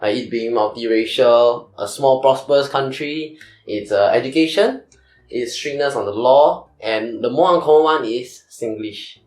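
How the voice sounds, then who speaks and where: A man with a Singaporean accent hosting a casual chat podcast, relaxed and quick to laugh. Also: mid-range voice, echoey room, slight background noise. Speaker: podcast host.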